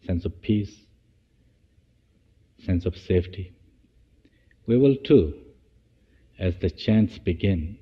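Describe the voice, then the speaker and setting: Slow, low-voiced man guiding meditation, echoey sound. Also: expressive, slightly rough. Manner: halting, phrase-by-phrase delivery with restarts. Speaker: meditation teacher. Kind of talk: guided meditation. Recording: dull, echoey hall-like sound.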